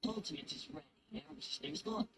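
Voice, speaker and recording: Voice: medium pitch, very animated, quick pace, vocal fry, fairly bright tone, slight background noise. An actor voicing a video game system announcer, giving short, clipped, scripted alerts. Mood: announcing alerts.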